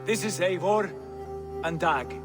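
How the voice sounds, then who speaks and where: An actor playing a confident Viking jarl in a video game cutscene, commanding and welcoming in a formal, declamatory way. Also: male voice, high voice, slow pace, music in the background.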